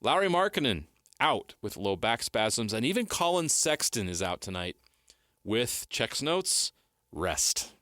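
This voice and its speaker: A man hosting a sports radio show, brisk as he leads the segments and reads out the news and injury lists. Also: medium pitch, sing-song, bright, forceful tone, dry recording, studio quality. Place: radio studio. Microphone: studio microphone.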